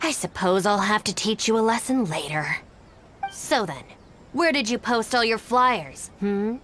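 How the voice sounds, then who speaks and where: A woman's voice: medium pitch, lively intonation, a little slow, a bit bright, a little hiss or hum. Stern, dry-voiced young woman. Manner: dry, firm, weary of others' antics. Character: stern young woman, exasperated deputy of a gang. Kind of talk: English video game voice acting. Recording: background music.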